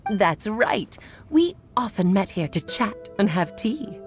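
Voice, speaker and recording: A woman playing an elegant, playful, motherly mage in a video game, telling stories in an expressive, refined way. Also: medium pitch, takes it slowly, soft background music. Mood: playful, storytelling. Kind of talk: theatrical storytelling, game voice acting.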